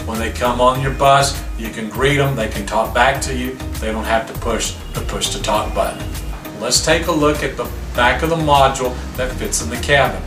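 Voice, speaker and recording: A man's voice: mid-range voice, moderate pace. A man giving a plainspoken, step-by-step product demonstration, often posing a question and answering it himself. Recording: roomy, distant mic, music behind.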